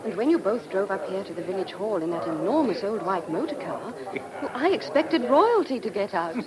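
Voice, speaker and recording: A woman's voice: mid-range voice, very animated, normal speed, lightly acted, rough recording. An actress playing an eager, easily impressed village woman in a radio drama, effusive and agreeable. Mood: admiring. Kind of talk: radio play acting. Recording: radio drama amid loud crowd chatter.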